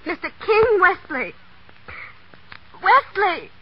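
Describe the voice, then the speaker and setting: A woman's voice: extremely high pitch, big pitch swings, slow, a little hiss or hum, exaggerated voice. An actress playing an insistent young woman on a long-distance call in a 1930s radio play. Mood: urgent, insistent. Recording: dull, lo-fi 1930s radio recording.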